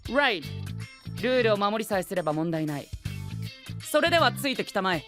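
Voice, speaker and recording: A male actor playing a pompous, rule-obsessed young gentleman knight in an anime audio drama, speaking formally and peppering his lines with English exclamations. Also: high-pitched, big pitch swings, normal speed. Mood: insistent, pompous. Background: background music.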